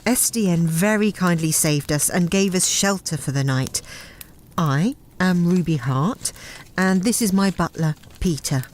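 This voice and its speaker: A woman's voice: lowish voice, a little slow, a little mellow, slight background noise, natural voice. Woman playing a plucky, polite bluffing heroine. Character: plucky space captain bluffing as a polite English lady. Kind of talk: audio drama acting.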